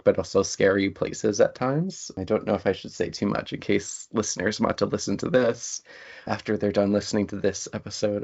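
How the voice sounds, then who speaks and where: A man with a low voice and plenty of vocal fry talks casually as an interview guest. He shares things in a conversational way, and the recording is slightly dull and lo-fi. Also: darkish tone. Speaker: interview guest, writer and lecturer.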